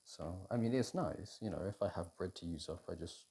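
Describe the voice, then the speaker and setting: A British man in an intimate, casual ASMR roleplay as a boyfriend cooking, talking in an unhurried, hesitant way as if thinking aloud. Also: low voice, warm tone, slightly rough. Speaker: audio roleplay performer playing a boyfriend cooking. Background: kitchen food preparation sounds.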